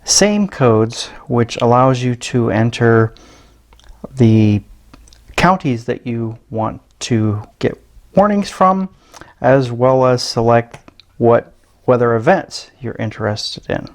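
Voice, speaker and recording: A middle-aged American man giving a casual, unscripted product walkthrough in a low-key, hesitant way. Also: slow. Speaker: gadget reviewer. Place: small indoor room at a tabletop. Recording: clear background noise with clicks.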